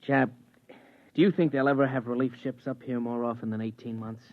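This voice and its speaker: An actor playing an eager but nervous replacement crewman on a moon base, talking in a hesitant, halting way in a 1950s radio drama. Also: medium pitch, steady tone, lightly acted. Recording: tinny vintage radio broadcast.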